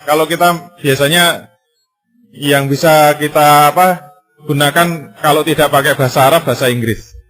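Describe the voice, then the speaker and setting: A male Islamic preacher giving a sermon, full of rhetorical questions and emphatic repetition, lively and sometimes joking. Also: fairly high pitch, normal speed, long pauses, faint music behind, poor audio. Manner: lively, conversational and jokey in places.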